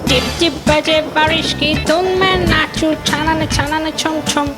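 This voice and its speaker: A conceited cartoon peacock, voiced by a woman, sings in a high voice. The slow, self-admiring tune sits in loud music. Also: level tone. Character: proud peacock singing in praise of himself. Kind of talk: children's cartoon singing.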